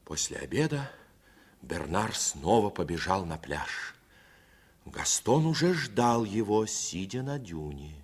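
A man narrating a 1950s radio play in a measured storytelling manner. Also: fairly low pitch, very animated, breathy edge.

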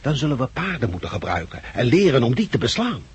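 A man acting a blunt, loudmouthed labour agitator turned survivor leader in a 1960s radio play, forceful and lecturing. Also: medium pitch, sing-song, fast talker, slightly rough. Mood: earnest, lecturing. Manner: forceful, lecturing, coarse-tongued. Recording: muffled-edged 1969 radio play recording.

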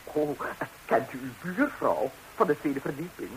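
An actress playing an impulsive, impertinent gossiping busybody in a 1960s radio comedy, exclaiming theatrically on a hissy recording. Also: female voice, deep voice, lively intonation, measured pace, low-quality recording. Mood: offended. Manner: exclamatory, indignant, dramatically swooping delivery.

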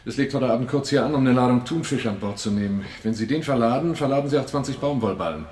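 A man's voice: medium pitch, quick pace, a little mellow, natural voice. A man acting a businesslike smuggler in a German radio drama, in an echoey room. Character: businesslike male smuggler explaining a shipment plan.

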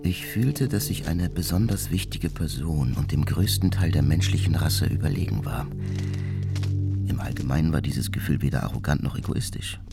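An actor playing a brooding, resentful, coldly self-important genius who recounts his life in a measured, formal monologue for a radio documentary. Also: extremely low pitch, gravelly. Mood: proud, brooding. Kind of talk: dramatized first-person monologue in a radio documentary. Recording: studio voice with music underneath. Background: music.

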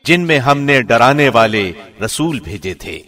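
A man's voice: medium pitch, lively intonation, bright, forceful tone, soft background music. Man reading scripture formally in long reverb. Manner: measured, formal delivery. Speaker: translation reader, radio host. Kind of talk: scripted scripture translation narration.

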